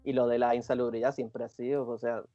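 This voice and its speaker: A man's voice: medium pitch, flat delivery, fairly quick, dark tone, no room sound, muffled recording, faint music behind. A man with a Chilean accent explaining things in a casual panel discussion. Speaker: podcast panelist.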